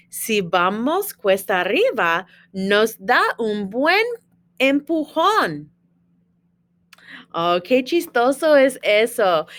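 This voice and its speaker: A woman's voice: fairly high pitch, fairly bright tone. A woman teacher reading a children's storybook aloud, expressively, with chatty asides and questions to young listeners.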